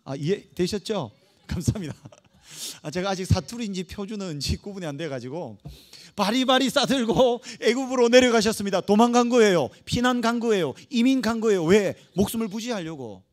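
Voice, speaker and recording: A male pastor delivers a sermon in a notably high voice for a man. He is emphatic and dramatic, stressing key phrases and drawing them out. He keeps turning to the congregation with rhetorical questions. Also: expressive, a little fast. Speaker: pastor preaching to young adults. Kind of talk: Protestant church sermon.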